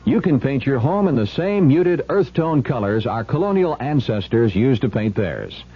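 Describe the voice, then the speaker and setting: A man reading a scripted radio commercial as a spokesman, pitching directly to the listener. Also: sing-song, normal speed, husky, soft background music. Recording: muffled old radio broadcast.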